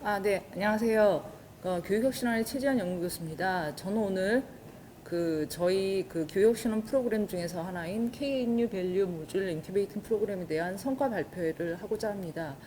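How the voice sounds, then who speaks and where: A woman speaks as a research professor giving a formal, explanatory presentation. Her pitch is average for a woman. Faint noise and ambience sit behind her voice. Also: a little fast, darkish tone.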